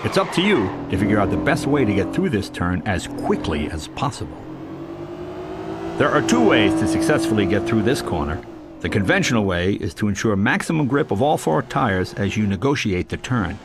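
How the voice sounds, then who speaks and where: A man giving scripted, instructional narration as a driving tutor, clearly enunciated, with racing car noise behind him. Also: fairly low pitch, sing-song, normal speed. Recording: loud race car engine noise. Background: racing game car sounds.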